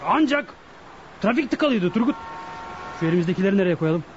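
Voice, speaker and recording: Cocky, high-pitched gang boss. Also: male voice, expressive, fairly quick, lo-fi sound. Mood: impatient. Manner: commanding, dismissive. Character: bossy male leader of a burglary gang, impatient and cocky. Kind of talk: radio drama acting. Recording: radio play with faint background noise.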